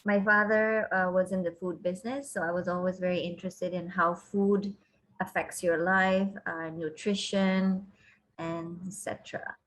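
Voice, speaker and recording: A woman who is a university counselor, giving measured, thoughtful and encouraging answers in an interview. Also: lowish voice, flat delivery, rough recording.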